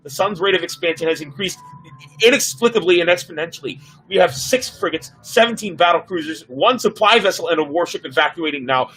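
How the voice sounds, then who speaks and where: A man's voice: on the high side, lively intonation, a little fast, fairly bright tone, soft background music, low-quality recording. A man acting an earnest, urgent scientist giving a dramatic warning in a sci-fi story reading. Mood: grave, urgent. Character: urgent, grave scientist sending a doomsday warning.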